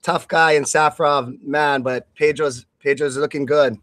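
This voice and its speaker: A man's voice: fairly high pitch, fairly even intonation, moderate pace, fairly bright tone, no echo, studio quality. A man doing live blow-by-blow radio commentary on a fight in short bursts.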